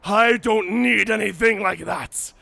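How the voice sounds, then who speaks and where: A man playing a proud, honor-bound and taunting werewolf warrior in a cinematic audio roleplay, speaking formally with a menacing, raspy growl. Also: sits high, pushed and bright, dry recording, heavily acted. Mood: suspicious, proud. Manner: slow, menacing, theatrical, formal speech.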